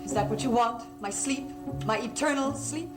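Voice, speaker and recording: A woman's voice: fairly high pitch, moderate pace, close to an everyday voice. An actress playing a bitter, imperious young woman in a gothic soap opera, icy and challenging. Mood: bitter, defiant. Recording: old TV audio, loud music.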